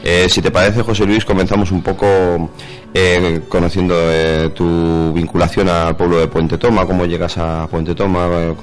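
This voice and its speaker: A deep-voiced man co-hosts a radio chat. His delivery is flat and hesitant, feeling its way through long sentences. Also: moderate pace, soft background music. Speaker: radio section co-host. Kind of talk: unscripted radio conversation. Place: radio studio.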